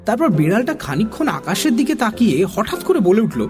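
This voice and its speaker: Male narrator for a children's audio story: high voice, very animated intonation, expressive and puzzled in dialogue, music behind. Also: fairly quick.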